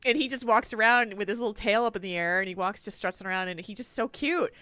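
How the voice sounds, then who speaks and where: Woman co-host, bubbly and giggly, bright tone, quick to do silly voices. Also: medium pitch. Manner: giggly, animated, slips into playful silly voices. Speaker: podcast co-host. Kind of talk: casual chat on a live podcast stream.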